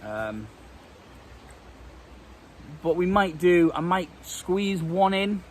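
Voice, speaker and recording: A man's voice: high voice, lively intonation, very slow. Man, from the UK, stuffy with a cold, casual rambling vlog talk, faint outdoor hiss. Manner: rambling, many ums and restarts. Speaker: vlogger. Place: outdoors in woodland.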